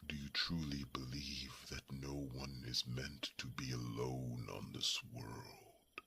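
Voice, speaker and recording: A man playing a huge, ancient dragon, tender and grieving, with a gravelly, breathy half-whisper and a solemn, halting delivery for an ASMR fantasy roleplay. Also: rumbling low, level tone, pushed and bright, slight background noise, exaggerated voice. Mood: tender, pensive. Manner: slow, solemn, halting, pensive.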